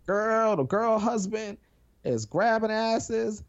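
A podcast co-host chatting casually, animated and slangy, swearing and laughing often. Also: mid-range voice, slow, highs cut off. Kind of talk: casual chat.

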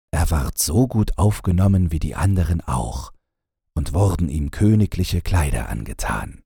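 A man reads a fairy tale in a low, strongly husky voice. His delivery is slow and storylike, with lively melody. The sound is scripted audiobook narration. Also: no echo, studio quality.

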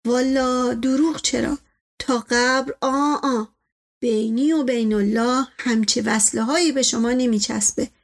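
A woman voicing a placating, folksy male servant in an audiobook story, with colloquial, deferential, drawn-out phrasing. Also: a little high, natural voice. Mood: evasive, flattering.

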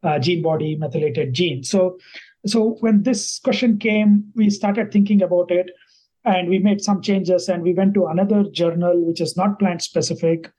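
A man's voice: high voice, fairly even intonation, normal speed, warm tone, rough recording. A man from India, a native Kannada speaker, answering interview questions thoughtfully with many fillers and restarts. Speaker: guest scientist.

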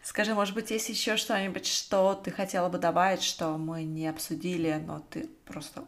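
A woman hosts a podcast and interviews her guest. She speaks gently and softly, with a somewhat low voice. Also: little pitch movement, normal speed. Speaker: podcast host.

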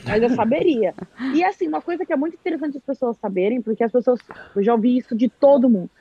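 A woman's voice: on the high side, quick pace, slightly rough, no room sound, highs cut off. A woman from Brazil chatting live, animatedly telling a story and acting out what people said. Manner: animated storyteller, acts out quoted speech. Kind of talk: casual live chat.

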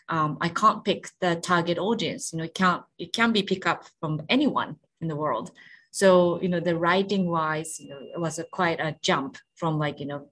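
A woman's voice: sits low, fairly bright tone, low-quality recording. A woman with a Japanese accent, a native Japanese speaker, talking as an interview guest in a thoughtful way, with many "you know" and "like" fillers. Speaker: guest, space education director. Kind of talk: casual interview conversation.

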